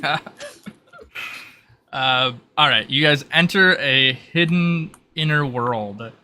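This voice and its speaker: A man acting as game master, improvising scene descriptions in a casual, halting way. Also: medium pitch, sharp tone, a little hiss or hum.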